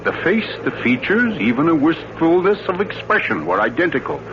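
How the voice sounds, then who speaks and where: An actor playing a suave, theatrical mystery writer who tells a sinister tale in an urbane, deliberate, dramatic 1940s radio style. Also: male voice, fairly high pitch, big pitch swings, background noise. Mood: ominous, suspenseful. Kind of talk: 1940s radio thriller acting. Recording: muffled old radio recording with music.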